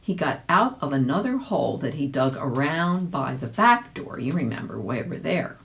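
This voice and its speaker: Low-voiced woman reading a children's storybook. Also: sing-song, normal speed, creaky. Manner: chatty, checks in with the listener. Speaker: volunteer storybook reader. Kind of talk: children's storybook narration with casual asides.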